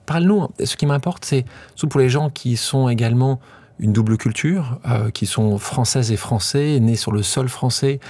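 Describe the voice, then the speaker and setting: A man hosts a podcast interview, asking long questions that often break off and restart. His voice sits at an ordinary middle pitch. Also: darkish tone, slightly rough, no echo. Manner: halting, searching questions. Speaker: podcast host. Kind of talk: casual interview talk.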